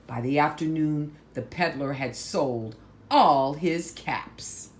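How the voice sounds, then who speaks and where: A woman reading a picture book aloud to children, phrasing deliberately and stressing words for drama. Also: sits low. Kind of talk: slow, expressive picture-book read-aloud.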